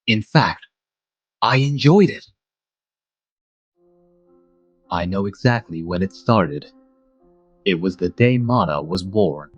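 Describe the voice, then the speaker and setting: Man as troubled manga protagonist, low voice, introspective narration, devoted guardian, soft music behind. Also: no room sound, highs cut off. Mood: hesitant, introspective. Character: conflicted, devoted single guardian man, narrating his story. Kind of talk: first-person manga dub narration.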